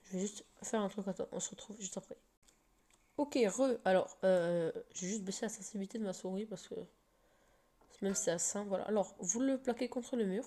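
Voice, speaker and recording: A woman's voice: mid-range voice, fairly quick, warm tone, a little hiss or hum. A woman with a slight cold, talking through a casual game tutorial while recording her screen, hesitant and full of fillers and restarts.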